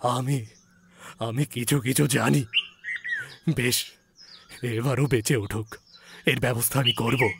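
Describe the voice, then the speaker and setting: Male narrator as loving landlord father: anxious, emotional, mid-pitched, slow, audio drama reading. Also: steady tone, gravelly, noisy background. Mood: tearful resolve. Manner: emotional, earnestly promising. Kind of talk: dramatised storytelling.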